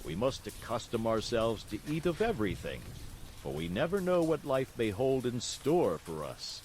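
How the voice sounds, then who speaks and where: A man voicing a kindly old woodcarver father who talks slowly and calmly, gently lecturing in a storybook reading. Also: medium pitch, slight background noise, natural voice. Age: older. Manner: slow, calm, gently lecturing.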